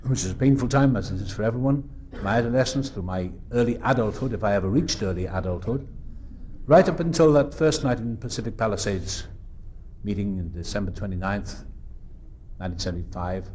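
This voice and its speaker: A Welsh man in his fifties gives an earnest, reflective recovery talk at a meeting, with pauses and restarts. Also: fairly low pitch, darkish tone, slight background noise. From South Wales. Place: meeting room.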